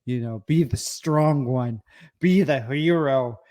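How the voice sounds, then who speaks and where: A man's voice: medium pitch, takes it slowly, darkish tone. A man with a mid-range voice co-hosts a podcast. He speaks bluntly and crudely, and keeps his tone provocative. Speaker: podcast co-host. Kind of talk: casual political banter.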